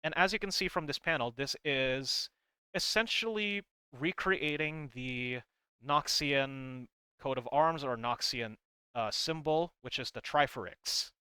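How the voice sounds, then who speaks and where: Man, bright voice, smooth and clear, dry close sound, casual rambling lore explainer. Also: mid-range voice, measured pace, no echo. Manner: rambling, hedging, reads comic text aloud between comments. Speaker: video creator explaining game lore.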